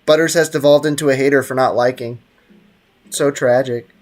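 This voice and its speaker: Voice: mid-range voice, fairly even intonation, moderate pace. A game streamer chatting casually on a livestream in a teasing, slangy, mock-stern way. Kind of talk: casual livestream chat over gameplay. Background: faint game music.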